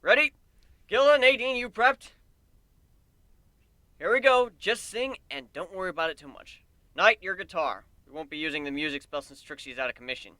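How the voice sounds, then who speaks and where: A man's voice: high-pitched, lively intonation, moderate pace, pushed and bright, very echoey, far from the mic, voice pushed up. A man voicing a mischievous griffin showman who projects like a contest announcer in a dramatic story reading. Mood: eager, commanding.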